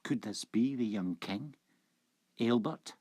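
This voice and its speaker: A man giving a solemn, measured, dramatic scripted narration as the introduction to a fantasy tale. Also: mid-range voice, darkish tone, slightly rough, no echo.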